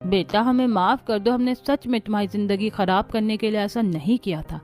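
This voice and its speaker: A woman's voice: medium pitch, moderate pace, a little mellow, natural voice. A woman voicing a tender, apologetic middle-aged mother with a soothing, sentimental delivery.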